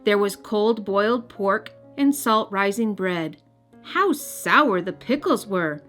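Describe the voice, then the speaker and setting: Casting: female storybook narrator, medium register, expressive delivery aimed at children, light music bed. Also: medium pitch, slow pace. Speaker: host and storybook reader. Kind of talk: children's read-aloud narration.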